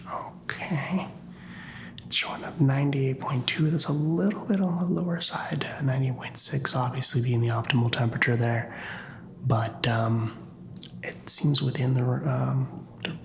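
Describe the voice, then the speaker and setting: A man speaking in a soft, breathy whisper, playing a doctor in an ASMR medical exam, gentle, halting and reassuring. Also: medium pitch, background noise. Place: small doctor's office.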